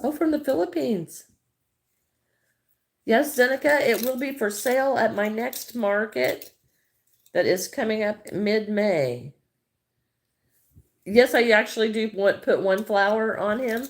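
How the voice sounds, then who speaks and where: A woman's voice: a little high, measured pace, long pauses. A middle-aged Midwestern American woman chatting casually on a crochet livestream, greeting viewers by name and thinking aloud as she works.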